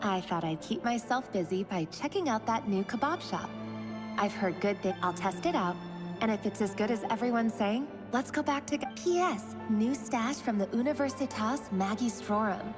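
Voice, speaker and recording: Actress as affectionate chatty housemate, highish voice, breezy, video game note, reverberant, music behind. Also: female voice, expressive, moderate pace, close to an everyday voice. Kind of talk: video game voice-over monologue. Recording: echoing reverb effect.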